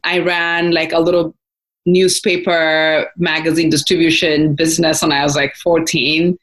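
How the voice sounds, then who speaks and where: A young woman from Ethiopia answers interview questions in a relaxed, rambling way. Her voice sits a little low, and she pauses often with fillers and restarts her sentences as she thinks. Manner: thoughtful, many fillers and restarts. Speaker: founder, guest. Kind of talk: casual interview talk.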